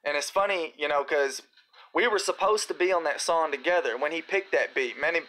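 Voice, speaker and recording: A man with a somewhat high, slightly raspy voice talks through viewer questions on a live stream. His delivery is loose and rambling, full of fillers and slang. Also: a little fast, bright, forceful tone, low-quality recording. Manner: laid-back, rambling, uses fillers and slang. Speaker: rapper hosting a live Q&A. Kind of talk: casual live-stream chat reading viewer comments.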